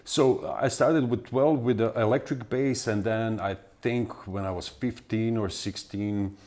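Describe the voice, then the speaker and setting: A man in his forties from Serbia with a Serbian accent, answering interview questions in halting speech full of fillers and restarts. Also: on the low side, steady tone, a little mellow. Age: 40s. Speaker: guest musician.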